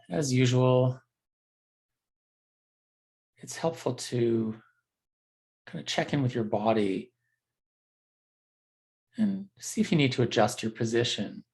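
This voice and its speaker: A man leading a guided body scan meditation as a teacher, speaking gently and unhurriedly in a halting, unscripted way with frequent pauses. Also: medium pitch, creaky.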